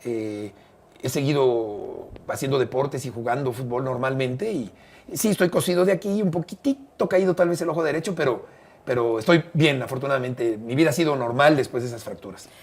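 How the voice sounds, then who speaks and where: A middle-aged man with a Mexican accent, a broadcaster telling a detailed story as an interview guest, measured and hesitant at times. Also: mid-range voice. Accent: Mexican Spanish. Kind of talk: conversational storytelling.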